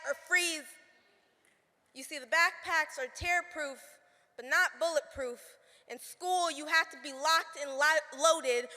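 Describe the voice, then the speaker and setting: High, bright teenage girl performing slam poetry. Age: teens. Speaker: spoken word poet. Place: live stage performance in a hall. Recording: reverberant hall.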